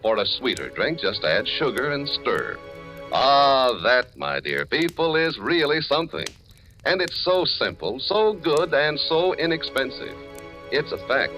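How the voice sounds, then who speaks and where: A man pitches a product in 1940s radio style, genial and emphatic. His bright voice swings widely in pitch, with faint music behind it on an old recording. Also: sing-song, measured pace, low-quality recording. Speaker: commercial pitchman. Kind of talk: 1940s radio advertising read.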